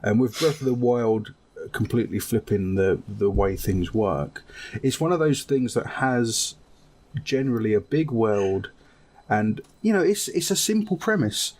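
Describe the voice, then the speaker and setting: A man's voice: on the low side, expressive, unhurried, a little mellow. A man chatting casually in a group as co-host of a gaming podcast. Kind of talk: casual group chat about games and films.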